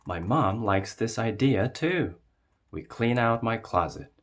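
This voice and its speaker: A man narrating a children's storybook as a read-aloud, with expressive delivery. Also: lowish voice, takes it slowly.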